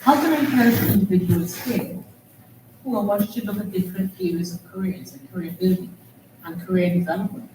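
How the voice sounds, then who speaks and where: A woman with a Trinidadian accent moderates a conference panel, posing earnest rhetorical questions. Her voice is mellow and dark, picked up from a distance in a roomy hall. A faint hum sits behind her. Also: fairly low pitch. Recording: echoey hall, distant mic, faint noise.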